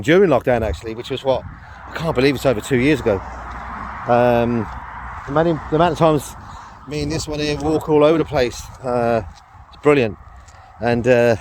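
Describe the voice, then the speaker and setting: Croaky-voiced man vlogging outdoors. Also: medium pitch, a little mellow, slight background noise. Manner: rambling, chatty, lots of fillers and laughs. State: croaky throat. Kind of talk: casual walking vlog. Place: outdoors on a walk with a dog.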